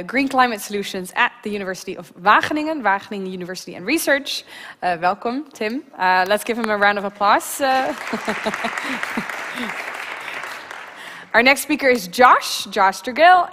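A woman's voice: medium pitch, pushed and bright. A young woman hosting a live event on stage, chatty and informal with frequent fillers. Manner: chatty, many fillers, laughs often. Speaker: event host. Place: large stage hall. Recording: reverberant hall.

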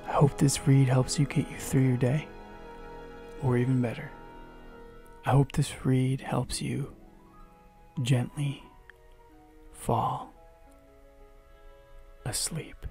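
Young male ASMR host. Extremely slow, hushed, dark-toned delivery, little pitch movement. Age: young adult. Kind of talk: ASMR introduction. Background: music.

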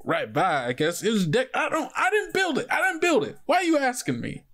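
A man's voice: extremely high pitch, huge pitch swings, brisk, a bit bright, pitched above the performer's own voice, exaggerated voice. A man voicing a defensive, rambling male character giving directions in a tabletop game, with colloquial speech. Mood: exasperated, defensive.

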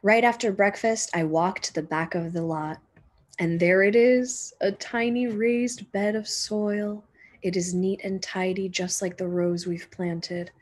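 Slow, husky woman reading a storybook. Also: medium pitch, fairly even intonation. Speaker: teacher reading a picture book aloud. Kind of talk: expressive children's storybook read-aloud.

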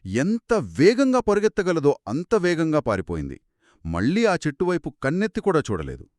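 A man's voice: a little high, wildly swooping pitch, normal speed, a little mellow, dry recording, studio quality. A man co-hosting scripted children's storytelling in dialogue, speaking in a reactive, theatrical way. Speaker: co-host storyteller.

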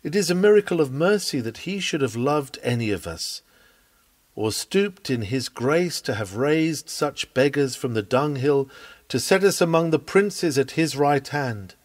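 A man reading a scripted sermon aloud in an earnest, measured, expressive preaching manner. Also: a little high, dry recording. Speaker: reader of a historical sermon.

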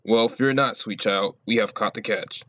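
A man's voice: on the low side, moderate pace, bright, forceful tone. A man voicing a folksy, cocky schemer in a comedy cartoon, full of colourful slangy patter. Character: folksy, cocky schemer leading a bumbling duo.

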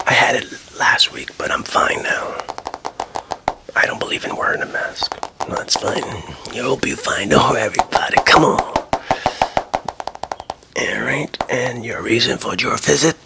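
A man whispers his way through a comedic ASMR checkup, his voice mostly breath and a gravelly fry. He plays a sketchy doctor, talking soft and close to the microphone. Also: mid-range voice, a little slow.

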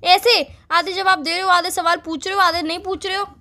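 Casting: young girlfriend on the phone, female, sharp high voice, nagging and insistent, casual. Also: steady tone, fairly quick. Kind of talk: casual couple's phone chat.

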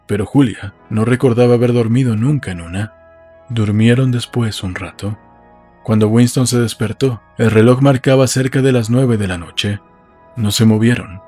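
A man reading literary narration for an audiobook in a measured storytelling manner. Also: mid-range voice, mellow.

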